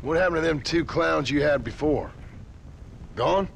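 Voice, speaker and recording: An actor playing a rugged cowboy gunslinger with an American accent, deadpan and dryly ironic, in a video game cutscene. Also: male voice, on the high side, unhurried, vocal fry, a little hiss or hum, rough recording. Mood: dry concern. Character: rugged, sardonic cowboy gunslinger, courteous but dry.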